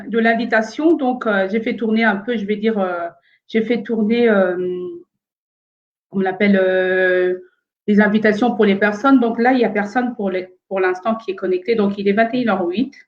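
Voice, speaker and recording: A woman's voice: medium pitch, steady tone, normal speed, dull audio. A woman from France of Congolese origin hosts a casual live stream from home, hesitant and full of fillers while she fights sound problems.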